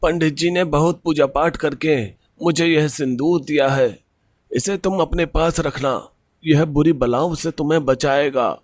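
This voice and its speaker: A man voicing an earnest, protective young brother in an animated horror story, urgent in manner. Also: on the high side, unhurried, dry recording, clean studio sound, voice pushed up, close to an everyday voice. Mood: caring, earnest.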